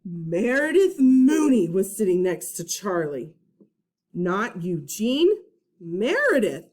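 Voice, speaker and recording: A woman teacher reading a children's storybook aloud with dramatic emphasis, pausing to put questions to young listeners. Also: mid-range voice, big pitch swings, a little mellow. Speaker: first-grade teacher.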